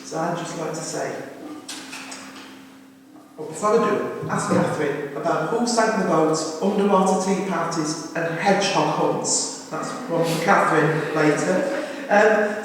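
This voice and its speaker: A middle-aged woman gives an informal, affectionate family eulogy in a chatty, anecdotal way. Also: lowish voice. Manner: chatty, affectionate, turning to relatives for confirmation. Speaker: family member giving a funeral eulogy. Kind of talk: informal spoken tribute with anecdotes. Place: crematorium chapel. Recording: very echoey hall, distant mic, faint noise.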